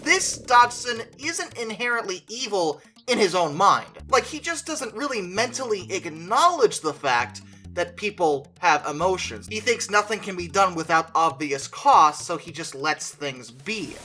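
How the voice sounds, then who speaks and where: A video essayist with a bright, forward voice in a middle register, talking conversationally through an opinionated film review. The delivery is emphatic and informal, with faint music underneath. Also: medium pitch. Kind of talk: opinionated movie review commentary.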